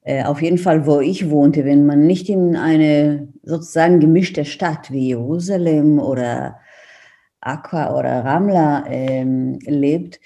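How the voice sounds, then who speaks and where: A woman's voice: low-pitched, slow pace, mellow, muffled recording. A middle-aged woman from Israel with an Israeli accent, a native Hebrew speaker, giving a reflective, hesitant account as an interview guest. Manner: hesitant, searching for words.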